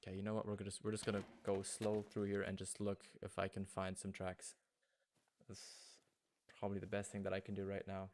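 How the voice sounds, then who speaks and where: A man's voice: deep voice, monotone, fairly quick, darkish tone, a little hiss or hum. A man whose first language is German, chatting casually with viewers while streaming a hunting game and thinking aloud. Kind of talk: casual gaming livestream chat.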